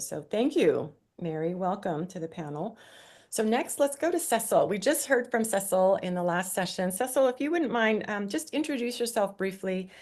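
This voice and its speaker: A woman's voice: fairly low pitch, darkish tone. A woman moderating a panel discussion, introducing speakers in an upbeat way.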